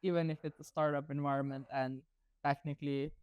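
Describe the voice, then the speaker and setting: A woman's voice: low voice, flat delivery, a little fast, a little mellow, smooth, dry recording. A young woman from Slovenia with a Slovenian accent, co-hosting a casual podcast chat with short, laughing interjections. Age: young adult. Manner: brief interjections, laughs easily. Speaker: podcast co-host.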